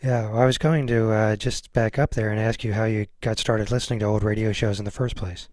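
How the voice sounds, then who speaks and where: A man with a fairly low voice hosts a relaxed interview. He sounds agreeable and supportive in casual chat, recorded close and dry without room sound. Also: a little fast, no room sound. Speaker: podcast host, interviewer.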